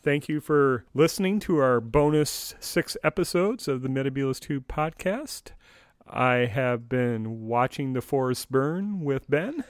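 A man's voice: medium pitch, lively intonation, unhurried. A man co-hosting a podcast in casual discussion, often with short, agreeable replies. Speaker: podcast co-host.

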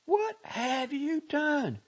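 A man's voice: mid-range voice, wildly swooping pitch, slow, gravelly, a little mellow, breathy edge, muffled recording, voice pushed up, full character voice. A man voicing a furious, fiery young woman in a storybook, scolding scornfully in slangy bursts. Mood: furious, mocking.

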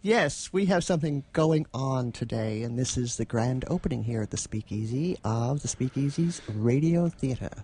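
A woman's voice: rumbling low, animated, moderate pace, few pauses, dark tone, slightly rough. A woman co-hosting a casual radio chat, talking in an amused way from a station studio. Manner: amused, chuckling as she talks. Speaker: radio co-host.

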